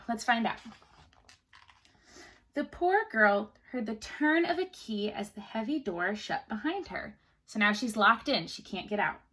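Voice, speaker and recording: Teacher lady reading a story to kids, highish voice, super animated, stops to explain n ask stuff. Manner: simple, questioning, explaining to children. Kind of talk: storybook read-aloud for children with explanatory asides.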